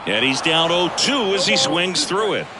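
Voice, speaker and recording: A man playing an alert baseball play-by-play announcer in a video game, quick to rise with the action. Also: a little high, sing-song. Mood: alert, building excitement. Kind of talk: sports commentary in a video game. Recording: loud stadium crowd and ambience behind. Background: ballpark crowd noise.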